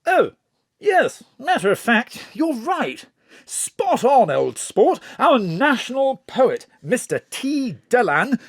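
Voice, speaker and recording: Excitable, florid official. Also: male voice, very high-pitched, takes it slowly, no room sound, studio quality, exaggerated voice. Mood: eager, jolly. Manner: theatrical, flowery, flustered phrasing. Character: excitable, florid Welsh government chief, tubby middle-aged man. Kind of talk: audio drama acting over a phone call.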